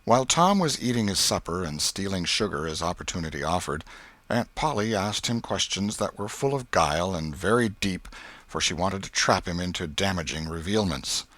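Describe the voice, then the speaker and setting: A man narrates a classic-style story in a fairly low voice with very lively intonation. The tone is bright and clear-edged, and the delivery is measured, expressive storytelling in a dry, close sound. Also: dry recording. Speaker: audiobook narrator. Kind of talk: scripted literary narration.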